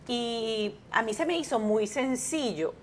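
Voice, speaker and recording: A woman's voice: on the high side, unhurried, slight background noise. A Venezuelan woman, a television presenter, chatting in an interview and telling stories animatedly, with frequent restarts and fillers. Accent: Venezuelan Spanish. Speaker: guest, TV presenter. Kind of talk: casual interview chat.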